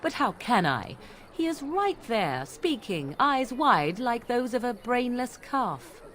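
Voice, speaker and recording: Scornful witch, female, fantasy game: aloof, sardonic, formal, very expressive intonation, slight ambient noise. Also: fairly high pitch, slow, exaggerated voice. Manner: haughty, sardonic, formal phrasing. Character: aloof, scornful young witch with cutting wit. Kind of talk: video game voice acting.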